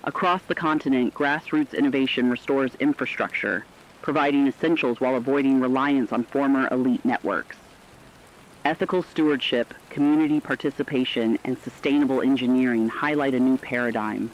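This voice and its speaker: A man's voice: mid-range voice, brisk, close to an everyday voice. A man playing an earnest radio correspondent in a doomsday broadcast, reading scripted news formally and evenly in dull, lo-fi sound. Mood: earnest, measured.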